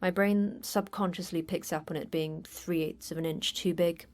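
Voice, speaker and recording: An actress playing a terse, unflappable manager of a secret facility in an audio drama, with a brisk, dry delivery. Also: female voice, fairly low pitch, steady tone, close to an everyday voice. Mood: dry, deadpan.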